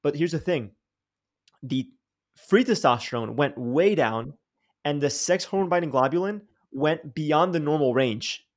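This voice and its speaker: A young man hosting a podcast, talking in a lively, slangy way with many restarts and repeated words. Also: on the high side, measured pace. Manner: animated, slangy, says dude and bro, many restarts. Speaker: podcast host. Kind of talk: casual interview chat.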